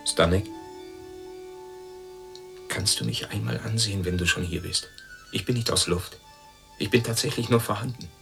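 A man's voice: fairly low pitch, normal speed, vocal fry, long pauses, fairly bright tone, faint music behind. A man playing a bitter, lonely newcomer from East Germany in a radio play, brooding as he talks.

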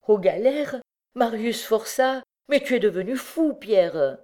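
A woman's voice: sits low, unhurried, a little mellow. A woman voicing a desperate working-class mother, horrified and fiercely defiant, in an exclamatory, pleading way. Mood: outraged, horrified.

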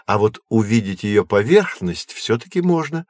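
A learned guide for children. His voice is fairly low and recorded dry and close. He explains patiently in a measured, didactic manner, as in an educational radio play. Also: very animated, dry recording. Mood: patient, instructive. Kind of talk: scripted explanation in children's educational audio drama.

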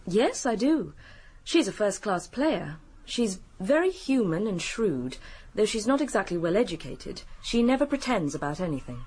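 An actress playing a composed, genteel, guarded British widow in a radio drama. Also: female voice, medium pitch, big pitch swings, normal speed, a little mellow, husky. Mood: guarded, measured.

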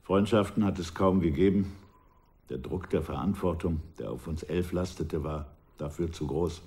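An aging ex-revolutionary, low and mellow. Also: male voice, gravelly. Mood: reflective. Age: older. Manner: measured, wistful, often hushed. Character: aging male ex-revolutionary, reflective and proud. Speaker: former revolutionary recalling his past. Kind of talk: radio drama acting, reflective narration.

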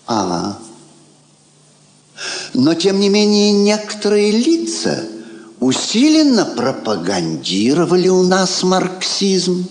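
An actor playing a haughty, ironic king in a classic radio drama, speaking in a pompous, theatrical, deliberate manner. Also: high voice, full character voice. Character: haughty, ironic, courtly king receiving a diplomat. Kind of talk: classic Russian stage radio drama acting. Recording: echoey theatre-like hall, old recording.

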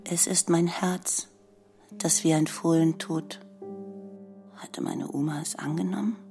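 A woman playing a brooding granddaughter in a literary radio play monologue, slow and creaky, with music behind her. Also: low-pitched, steady tone. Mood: pensive, questioning. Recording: prominent music under the voice.

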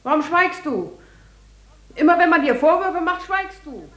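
A high female voice plays a reproachful wife who nags and scolds. The roomy sound is that of a 1950s radio drama. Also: lively intonation, measured pace, fairly bright tone, exaggerated voice. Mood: scolding. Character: reproachful, nagging wife of a merchant. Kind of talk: 1950s German radio play dialogue.

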